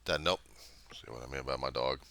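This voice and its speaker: Middle-aged american guy, super low voice but bright and forceful, rambling along, stops and starts thinking out loud. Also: gravelly, no echo. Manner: halting, thinking aloud, plain-spoken. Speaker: vlogger. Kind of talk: rambling casual monologue.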